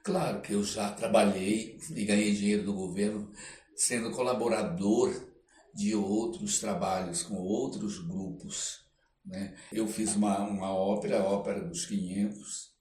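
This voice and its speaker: Slow-talking older Brazilian man, low voice. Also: fairly even intonation, a little mellow. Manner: halting, reflective, frequent tag questions. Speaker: interview guest, actor and storyteller. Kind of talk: casual interview talk with personal anecdotes. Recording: roomy echo, clear background noise.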